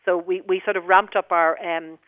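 An Irish woman, a hospital director of midwifery, speaks briskly and reassuringly in a radio interview. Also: fairly low pitch, steady tone, no room sound. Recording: tinny phone line.

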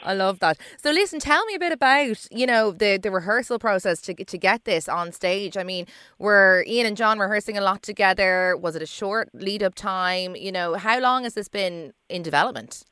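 A woman's voice: mid-range voice, lively intonation, normal speed, few pauses, fairly bright tone, clear, resonant tone, no room sound, studio quality. A woman interviewing casually as a radio host, chatty and effusive.

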